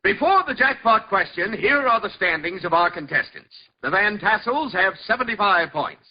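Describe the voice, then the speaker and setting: A man's voice: sits high, lively intonation, moderate pace. A man acting a showy, mock-sympathetic quiz show host in theatrical 1940s radio comedy style. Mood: announcing. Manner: theatrical, announcing, mock-apologetic. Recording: muffled old radio, audience behind.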